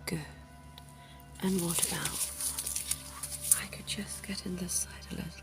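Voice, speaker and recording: A woman voicing a suave, centuries-old vampire dentist in an ASMR roleplay, whispering softly with genteel, teasing charm. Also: lowish voice, steady tone, over music. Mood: soothing. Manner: soft whispering, slow and intimate; genteel and teasing, quiet chuckles.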